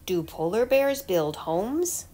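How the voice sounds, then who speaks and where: A woman's voice: medium pitch, big pitch swings, slow pace, dark tone, soft background music. A woman reading a children's picture book aloud like a teacher, with emphatic storytelling delivery. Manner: clear storytelling delivery with emphatic questions and answers.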